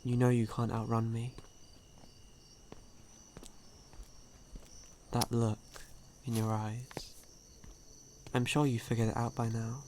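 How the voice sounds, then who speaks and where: A man playing a suave, sly, hungry male vampire in an ASMR audio roleplay, speaking in a teasing, drawn-out manner. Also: mid-range voice, level tone, warm tone, slight background noise, close to an everyday voice. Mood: menacing, coaxing. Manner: slow, drawn-out, teasing, with breathy chuckles.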